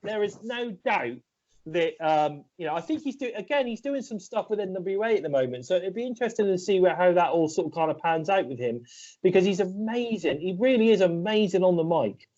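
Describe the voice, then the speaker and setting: A man's voice: high-pitched, expressive, a little fast, darkish tone. A British man with a cold, co-hosting a casual wrestling chat, rambling and restarting his sentences. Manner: rambling, hesitant, full of restarts. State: bunged up with a cold. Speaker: podcast co-host. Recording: dull lo-fi sound.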